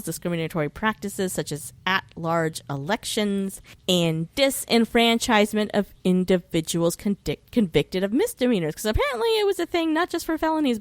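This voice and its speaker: A woman's voice: fairly low pitch, dry recording. An American woman chatting casually as a podcast guest, informal and wry, swearing freely and wandering into asides. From United States. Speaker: podcast guest who hosts her own show.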